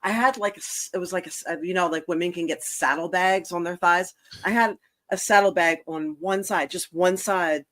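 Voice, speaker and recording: A woman hosting a casual talk show, chatty and quick to jump in with asides. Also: on the low side, fairly bright tone. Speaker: host. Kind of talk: casual chat.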